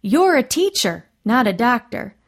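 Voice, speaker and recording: A woman's voice: mid-range voice, a little slow, a little mellow, natural voice. Woman voicing a stubborn, indignant male country doctor. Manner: wry, protesting.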